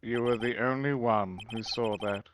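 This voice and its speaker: A man's voice: medium pitch, fairly even intonation, normal speed, a little hiss or hum, close to an everyday voice. A man voicing a stern middle-aged judge in a live fan dub of a game.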